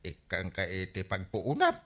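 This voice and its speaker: A man playing a genial, excitable village captain with an Ilocano accent in a radio comedy drama, full of exclamations. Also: sits low, normal speed, full character voice. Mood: teasing.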